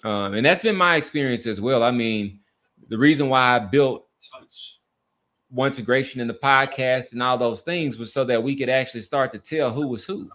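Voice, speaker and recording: A man hosting a podcast, talking casually about sports and society in an earnest, halting way with repeated words. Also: mid-range voice, a bit bright. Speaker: podcast host. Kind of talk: casual sports talk and commentary.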